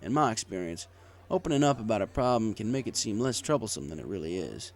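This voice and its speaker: A man's voice: on the low side, sing-song, fast talker. A man reading a story aloud in the first person as a bartender narrator. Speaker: audiobook reader. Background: music.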